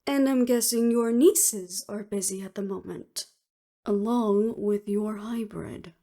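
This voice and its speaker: A woman's voice: mid-range voice, takes it slowly, dark tone. A woman acting in an audio roleplay as an earnest, weary official who speaks formally and seriously. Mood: urgent, worried.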